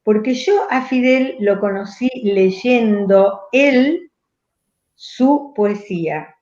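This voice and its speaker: A woman from Argentina whose was an Italian dialect, speaking thoughtfully as a writer being interviewed, with frequent pauses. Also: mid-range voice, a little mellow. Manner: thoughtful, pausing, restarts phrases. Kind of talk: reflective conversation. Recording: roomy, dull lo-fi video call audio.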